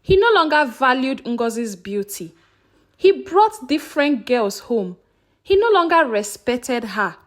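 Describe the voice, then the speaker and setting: A woman tells a folktale with dramatic expression. Her voice sits very high and moves widely in pitch as she narrates. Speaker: storyteller.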